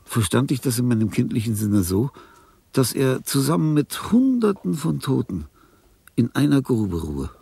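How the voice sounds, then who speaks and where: An actor playing a lonely, dying, famous old composer in a radio drama, reflective and pompous, with a husky, gravelly voice. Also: male voice, mid-range voice, warm tone, exaggerated voice. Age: older. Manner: declamatory, pompous, pausing, emphatic.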